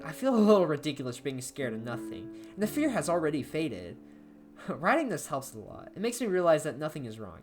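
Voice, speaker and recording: A woman with a low voice narrates a creepy first-person story. Her delivery is dramatic and very varied in pitch, with phrase endings falling away to a hush. Soft plucked guitar plays behind her. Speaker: amateur horror story reader. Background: plucked guitar music.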